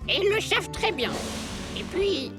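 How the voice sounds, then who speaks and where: A very high, swooping old alien matriarch, airy and grandly formal, as in an audio drama. She takes her time and sounds dismissive, with music clearly behind her. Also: sing-song, normal speed. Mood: nonchalant.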